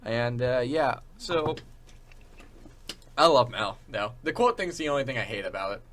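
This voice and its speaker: A man's voice: mid-range voice, animated, normal speed, a bit bright, slight background noise. A young man hosting a casual chat show, speaking informally in stop-start phrases with repeated words. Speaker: show host.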